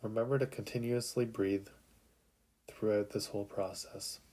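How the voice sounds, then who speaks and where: Soft mellow man guiding sleep meditation. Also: fairly low pitch. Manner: calm, soothing, unhurried with long pauses. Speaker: meditation guide. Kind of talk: guided sleep meditation narration.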